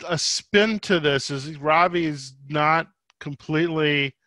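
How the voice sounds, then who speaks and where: Man, slightly high pitch, bright tone, dry recording, podcast host, roundabout questions. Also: takes it slowly, dry recording. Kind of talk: conversational interviewing.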